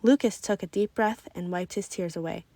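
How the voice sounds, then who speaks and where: A woman reading a children's story aloud in expressive, scripted narration. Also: lowish voice, normal speed, no room sound. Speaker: storybook reader.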